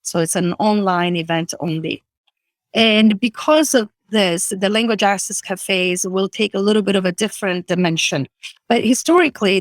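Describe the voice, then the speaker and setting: A woman's voice: mid-range voice, no room sound. Italian accent lady on a video call interview, earnest, explains things, restarts a lot, dry sound. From Italy. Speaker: interview guest, organization president. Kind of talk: virtual interview.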